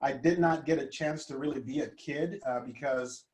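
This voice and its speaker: A man in his fifties from Honduras, a guest life coach telling his childhood story in an earnest, gentle way with many fillers. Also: mid-range voice, moderate pace, echoey room. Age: 50s. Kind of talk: conversational storytelling.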